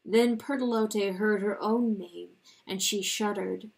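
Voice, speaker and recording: A woman narrates a literary animal tale in a dramatic, solemn style. Her pace is very slow, with measured and deliberate phrasing. Her pitch sits in an ordinary middle range. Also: steady tone, creaky, rough recording. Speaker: audiobook reader.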